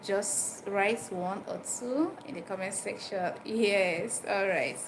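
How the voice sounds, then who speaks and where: A woman's voice: mid-range voice, slow. A woman with a Nigerian accent hosting an informal livestream, repeating her phrases and putting questions directly to listeners as she explains and advises. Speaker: livestream host giving dental health advice. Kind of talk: informal livestream talk, chatting with viewers. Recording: noisy live stream with background ambience.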